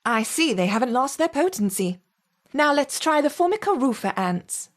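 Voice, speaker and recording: A woman's voice: high-pitched, sing-song, normal speed. A woman playing a commanding lead scientist in a video game, composed and authoritative. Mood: cool, in command. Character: commanding female lead scientist overseeing an experiment. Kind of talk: video game character acting.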